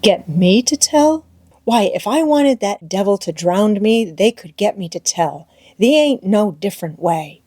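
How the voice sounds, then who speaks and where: A kindly, plain-spoken ragamuffin boy from a storybook, read by a woman. The voice is soft-edged and mid-pitched, drawling emphatically in backwoods dialect, recorded close and dry. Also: expressive, measured pace, dry recording. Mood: emphatic.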